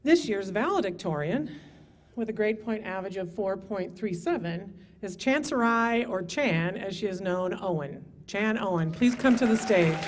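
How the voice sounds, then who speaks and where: A woman making slow, deliberate announcements at a graduation ceremony. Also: medium pitch. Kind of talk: formal announcement.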